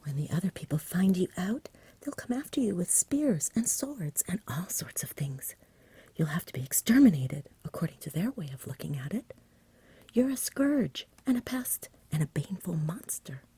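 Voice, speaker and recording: A woman voicing a sensible, frank young boy from a storybook in a soft whisper, earnest, polite and matter-of-fact. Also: lowish voice, very animated, moderate pace, slightly rough, no room sound, exaggerated voice. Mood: exasperated, earnest.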